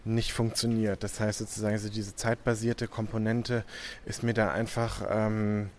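A man's voice: on the low side, little pitch movement, moderate pace, darkish tone, a little hiss or hum. A young man from Hamburg, an artist, answering interview questions hesitantly with many filler sounds. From Hamburg, Germany.

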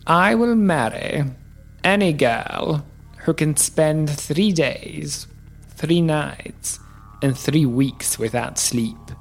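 A woman playing an enchanted marble king, gravely proclaiming a decree in a folktale radio play. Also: low voice, animated, very slow, heavily acted. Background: music.

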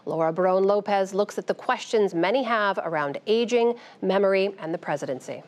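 A woman's voice: medium pitch, a little fast. A woman reading a scripted news introduction as anchor. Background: music.

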